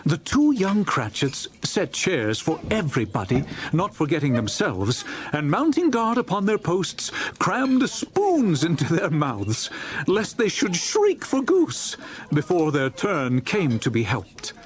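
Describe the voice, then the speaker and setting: A man narrating a dramatized classic story as an audiobook, in a theatrical, expressive storytelling manner. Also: on the high side, slow.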